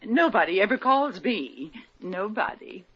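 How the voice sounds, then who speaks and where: A lonely, prickly old maid. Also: female voice, mid-range voice, very animated, unhurried, breathy edge. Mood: dry. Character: lonely, prickly middle-aged spinster. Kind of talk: 1950s radio comedy acting. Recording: dull lo-fi old radio broadcast.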